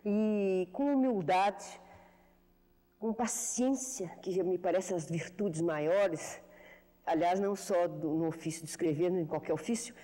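Reflective middle-aged woman, warm studio reverb. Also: on the low side. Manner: measured, reflective, frequent hesitations and repetitions. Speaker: writer being interviewed. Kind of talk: thoughtful television interview answers. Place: television studio. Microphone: lapel mic.

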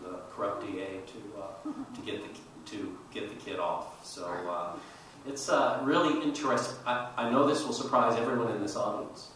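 An older man speaking as a historian on a panel, telling history to an audience in a measured, halting way. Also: deep voice, fairly bright tone. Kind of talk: storytelling panel talk to an audience. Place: large auditorium stage. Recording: roomy echoey hall, distant mic, faint noise.

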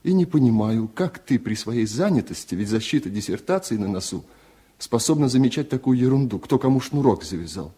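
A man's voice: mid-range voice, lively intonation, a little fast, warm tone, husky. A man voicing a proud, busy husband in a 1970s radio literary reading, cutting and dismissive. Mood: irritated.

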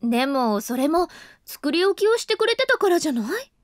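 A woman's voice: high voice, big pitch swings, fairly quick, no room sound, clean studio sound. An actress playing a tired young office worker who keeps apologizing and narrates her own thoughts. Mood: apologetic. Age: young adult. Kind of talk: studio audio drama. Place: dry studio.

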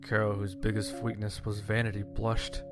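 Man, horror story narration, measured, dramatic, restrained pitch movement, music underneath. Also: monotone. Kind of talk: scripted first-person horror story narration.

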